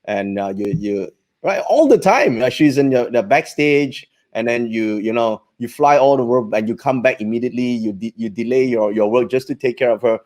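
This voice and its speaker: Male host, encouraging, filler-heavy questions, mid-pitched. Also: moderate pace, darkish tone. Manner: encouraging, many fillers like "you know" and "right". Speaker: interviewer host. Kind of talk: livestream interview.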